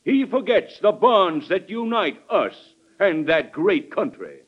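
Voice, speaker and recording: An actor playing a cautious, guarded Secretary of State in old-time radio drama style. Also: male voice, high-pitched, very animated, slow pace, heavily acted. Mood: oratorical. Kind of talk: 1940s radio acting. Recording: muffled old radio recording.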